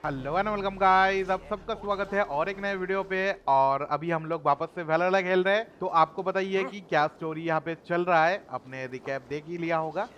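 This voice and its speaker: A man's voice: high-pitched, racing, hardly any pauses, a bit bright, muffled recording, slight background noise. A man with an Indian accent giving casual gameplay commentary as a video host.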